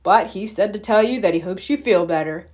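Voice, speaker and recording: A woman's voice: mid-range voice, fairly quick, darkish tone, lightly acted. A woman reads the part of a storybook papa bear, busy but kindly. He speaks in a friendly, considerate way to his family.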